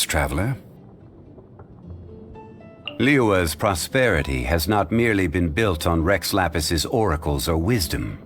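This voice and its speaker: An actor voicing an ancient, dignified male sage for a game, in formal, measured, unhurried speech. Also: low-pitched, fairly bright tone. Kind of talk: studio game voice lines.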